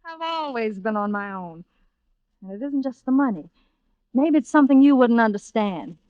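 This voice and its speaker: A woman's voice: fairly high pitch, expressive, normal speed, dry recording, natural voice. Woman acting a cool, hard-bitten nightclub singer, vintage radio. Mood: defiant. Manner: tough, terse, unsentimental. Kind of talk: old-time radio thriller acting. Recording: dull, lo-fi vintage radio recording.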